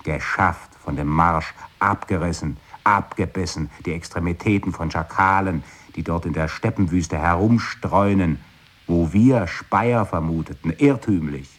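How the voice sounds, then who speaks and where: A man with a very low, husky voice gives a measured, declamatory recitation of experimental verse. He is recorded in a radio studio. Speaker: speaker in an experimental radio play. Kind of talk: scripted poetic recitation.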